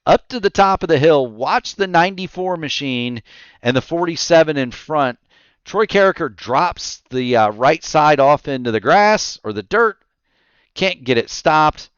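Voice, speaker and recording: A man calling a virtual stock car race as play-by-play commentator, quick and excitable on the action, his voice getting tired. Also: fairly high pitch, smooth, no room sound, muffled recording. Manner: rapid excited play-by-play, self-correcting. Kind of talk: sim racing commentary.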